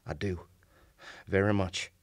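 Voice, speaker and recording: An actor playing a haunted, wounded Civil War soldier who narrates a radio drama in a grave, formal, measured manner. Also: male voice, deep voice, fairly even intonation, no echo, studio quality. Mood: dazed, questioning. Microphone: shared vintage-style studio microphone.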